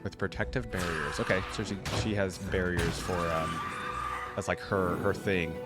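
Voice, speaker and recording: Low-voiced man reacting casually over music. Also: steady tone, normal speed. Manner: informal, thinking aloud, slangy. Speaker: reaction video host. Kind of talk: casual gaming reaction commentary. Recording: music playing behind the voice. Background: game trailer and theme music.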